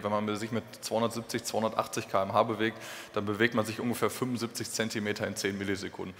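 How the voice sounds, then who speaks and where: A man's voice: on the low side, a bit bright. Young man presenting in an echoey hall. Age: young adult. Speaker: conference speaker presenting technical work. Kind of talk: technical conference presentation. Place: stage in a concrete-walled event hall. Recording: echoey hall with clear background noise.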